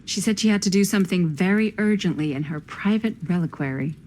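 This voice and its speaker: An actress playing a guarded, weary, middle-aged film director in a video game, reserved and clipped. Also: female voice, lowish voice, brisk, a little mellow, slight background noise, natural voice. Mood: matter-of-fact.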